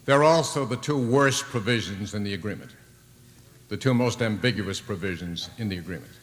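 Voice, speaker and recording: A man on a panel giving precise, measured remarks on arms control at a public forum. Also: on the low side, a bit bright. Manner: precise, formal and deliberate. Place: large lecture hall. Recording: reverberant hall, 1980s recording.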